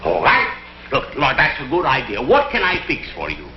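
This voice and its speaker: A man playing a flustered, stingy Italian husband in a 1960s TV comedy sketch, speaking excitable broken English with a put-on Italian accent. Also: mid-range voice, normal speed, slight background noise. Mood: eager, puzzled. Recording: dull echoey 1960s TV soundtrack, audience, music.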